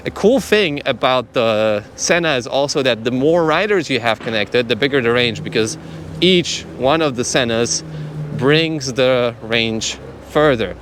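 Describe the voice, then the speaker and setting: A man's voice: medium pitch, expressive, unhurried, a bit bright. Casual man vlogging, faint outdoor noise. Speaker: product reviewer, vlogger. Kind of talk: casual demonstration talk.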